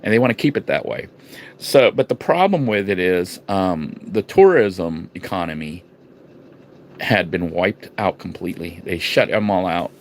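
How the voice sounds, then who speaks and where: Rambling low-voiced man, faint background hiss. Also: expressive, normal speed. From United States. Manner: rambling, with frequent restarts and fillers; swings from low murmurs to sudden loud emphatic bursts. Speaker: vlogger. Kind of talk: casual vlog talk to camera.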